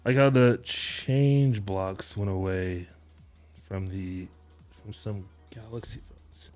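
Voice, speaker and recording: A man in his thirties hosting a live tech-deals stream from his home setup, chatting in a relaxed, rambling way with frequent chuckles into a close boom mic. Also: slow, soft background music. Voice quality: deep voice. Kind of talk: casual live-stream chat. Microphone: boom mic close to mouth, headphones on.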